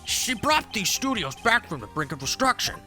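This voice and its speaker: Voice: medium pitch, big pitch swings, normal speed, pushed and bright, faint music behind. An amateur actor voicing an eccentric, smug, slang-spouting nerdy TV director in a video game fandub, with drawling theatrical geek-speak. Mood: chatty.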